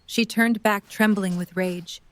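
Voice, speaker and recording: A woman's voice: mid-range voice, steady tone, measured pace, no echo. A woman reading scripted narration for a dramatic audio story.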